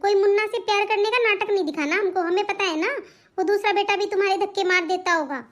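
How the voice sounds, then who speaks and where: A woman's voice: very high voice, fairly even intonation, fast. A woman voicing a domineering, scornful young daughter-in-law in a family cartoon drama, bossy and scolding. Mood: scornful, angry.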